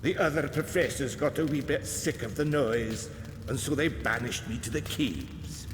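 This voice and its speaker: A man's voice: medium pitch, moderate pace, noisy background, close to an everyday voice. A man playing an eccentric, kindly old alchemist in a video game, lilting and theatrical. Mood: friendly, dotty. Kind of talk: video game character acting. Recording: echoey reverberant sound with music behind.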